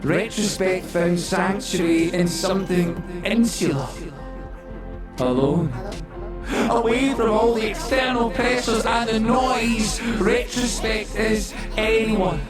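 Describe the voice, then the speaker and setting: A man's voice: sits high, slow pace. A man performing a brooding personified memory that preaches in rhythmic, incantatory rhyme in an audio play. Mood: confessional, intense. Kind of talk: poetic spoken-word monologue in an audio play. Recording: very echoey, distant voice under loud music. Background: music score.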